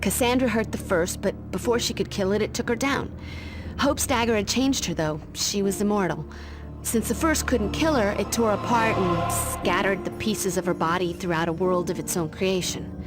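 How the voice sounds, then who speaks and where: A young American actress playing a determined demon slayer in a video game, dry and matter-of-fact. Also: female voice, mid-range voice, normal speed, natural voice, low-quality recording. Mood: grave, explaining. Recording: music behind.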